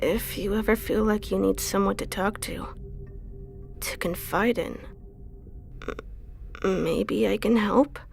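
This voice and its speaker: A woman's voice: medium pitch, slow, gravelly, pauses often, faint music behind. A woman playing a shy, earnest young undead woman in an audio drama, speaking hesitantly and easily flustered. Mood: timid, tender. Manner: hesitant, timid stammering. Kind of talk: audio drama character acting.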